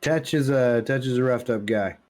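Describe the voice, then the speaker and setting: A man's voice: medium pitch, moderate pace, a little mellow. A man chatting informally as a podcast co-host, animatedly retelling dialogue, with casual swearing. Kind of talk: casual pop-culture podcast chat.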